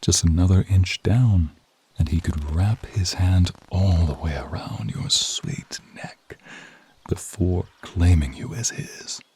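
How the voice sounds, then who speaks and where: A man's voice: deep voice, takes it slowly, dark tone, a little hiss or hum. A man narrating a scripted ASMR roleplay in the second person, dramatic and intimate, often dropping toward a whisper.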